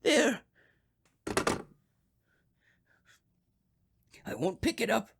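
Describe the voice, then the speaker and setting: A woman's voice: a little high, wildly swooping pitch, moderate pace, creaky, background noise, heavily acted. A woman playing a crotchety, nervous invalid man in a radio drama, stammering and repeating words in agitation. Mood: frightened.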